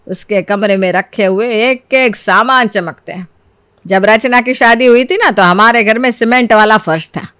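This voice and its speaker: Woman voicing a sly, high-pitched scolding mother-in-law. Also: fairly bright tone, dry recording, clean studio sound. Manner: sarcastic, theatrical, needling.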